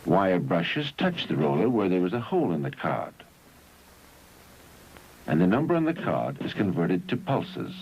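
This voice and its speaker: A man reads scripted narration for an educational film in measured, instructional phrases, on an old 1971 film soundtrack. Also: deep voice, darkish tone, lo-fi sound, slight background noise.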